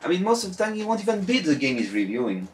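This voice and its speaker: French-accented man with lively pitch. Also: racing, a little hiss or hum. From France. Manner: sarcastic, animated delivery. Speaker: YouTube reviewer. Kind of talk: scripted video essay. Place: home room with bookshelves.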